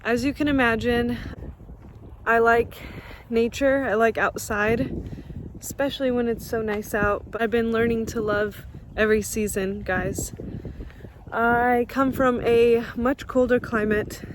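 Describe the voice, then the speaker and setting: A woman's voice: on the high side, steady tone, measured pace, noisy background. A young woman from Russia, whose first language is Russian, chatting casually to her viewers while walking outdoors on a campus and laughing often. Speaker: vlogger. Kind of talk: casual vlog walk-and-talk.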